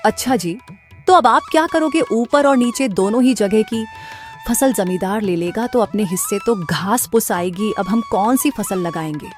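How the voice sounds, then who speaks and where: A woman doing a fretful high-voiced farmer's wife. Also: lively intonation, moderate pace, faint music behind, close to an everyday voice. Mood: worried. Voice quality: anxious, questioning. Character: worried young farmer's wife.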